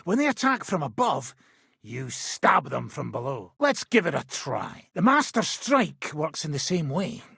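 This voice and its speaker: Gravelly loudmouth medieval sparring coach for a game, taunting, pitched high and swinging all over. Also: male voice, sing-song, measured pace, no room sound, clean studio sound, heavily acted. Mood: instructive. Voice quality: raspy, gravelly. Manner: gruff, taunting, boisterous. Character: brash hard-drinking male medieval fighter and combat trainer. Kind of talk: video game voice lines.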